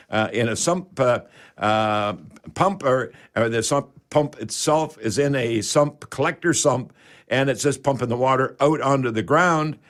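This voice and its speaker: Folksy man hosting talk radio. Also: medium pitch. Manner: halting, folksy, repeats words for emphasis. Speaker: radio show host. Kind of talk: home repair advice talk radio.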